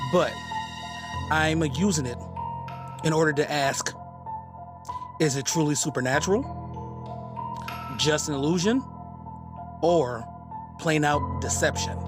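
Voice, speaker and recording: A middle-aged man hosting a podcast, giving a scripted introduction in measured, separated phrases. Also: mid-range voice. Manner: measured, deliberate, pausing between phrases. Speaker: podcast host. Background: prominent music.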